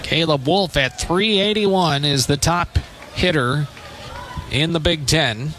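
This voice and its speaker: Male baseball play-by-play announcer, fairly high voice, clipped radio commentary phrasing, clear outdoor background noise behind him. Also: a bit bright. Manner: clipped short phrases between measured descriptions. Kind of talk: live radio sports commentary.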